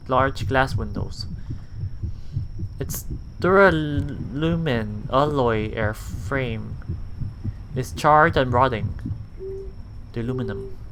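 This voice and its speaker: A man's voice: mid-range voice, a bit bright, a little hiss or hum, rough recording. A man reading a visual novel aloud as a let's play host, stumbling and rereading lines as he goes. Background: faint music.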